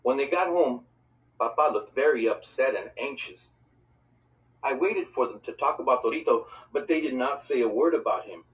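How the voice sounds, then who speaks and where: A man reading a children's novel aloud as an expressive, dramatic narrator. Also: mid-range voice, moderate pace. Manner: dramatic, voices dialogue for characters. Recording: distant mic, dull lo-fi sound.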